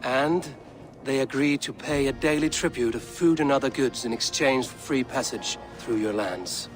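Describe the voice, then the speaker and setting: A Viking warrior played by a male actor in a video game, calm and kindly. The mid-pitched voice is measured and dryly wry, over soft background music. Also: lightly acted. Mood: calm, persuasive. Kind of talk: video game cutscene acting.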